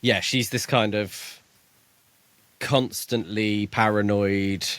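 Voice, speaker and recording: A man co-hosting a podcast, talking casually about a book in a measured way, often restarting as he finds his point. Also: on the low side, steady tone, fairly bright tone, no echo. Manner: measured, restarts as he gathers thoughts. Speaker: podcast co-host.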